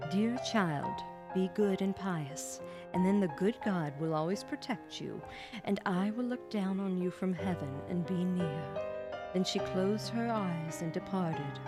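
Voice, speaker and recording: A woman hosting a livestream, speaking in a casual, rambling way as if thinking aloud. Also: on the low side. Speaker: livestream host, VTuber. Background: background music.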